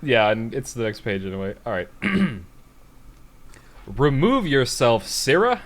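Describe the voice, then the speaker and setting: Animated, slow-paced podcast co-host with giggly delivery. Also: medium pitch. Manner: giggly, often breaking into laughter. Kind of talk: casual banter and reading a bad story aloud.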